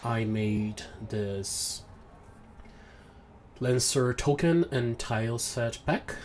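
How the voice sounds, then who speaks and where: A young Brazilian-accented man talks through a software tutorial from his home setup, pausing often with ums and restarting his sentences. His voice sits at a middling pitch for a man. Faint household noise sits low behind his voice. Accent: Brazilian Portuguese. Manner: hesitant, many ums and restarts, apologetic. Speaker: tutorial maker. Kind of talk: screen-recorded software tutorial. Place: home room with noisy neighbors.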